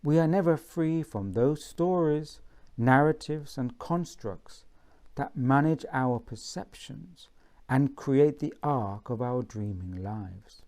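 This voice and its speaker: A man reading from his own book in a measured bedtime-story style, deliberate and pausing between phrases. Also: medium pitch, lively intonation, a little mellow.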